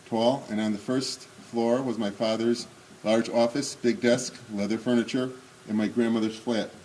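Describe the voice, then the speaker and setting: A man in his sixties with a booming voice, giving an unscripted talk at a remembrance service with many pauses and fillers, then reading memoir excerpts aloud. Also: darkish tone, a little hiss or hum, poor audio. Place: synagogue hall. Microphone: speaking without a microphone.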